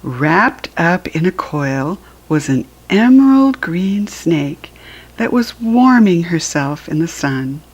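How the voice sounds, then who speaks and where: Slow-paced American woman reading to children. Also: on the low side, sing-song, slightly rough, poor audio. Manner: simple, instructive, addressing small children; acts out animal sounds while reading. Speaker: children's book reader. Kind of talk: read-aloud storytelling for young children with asides.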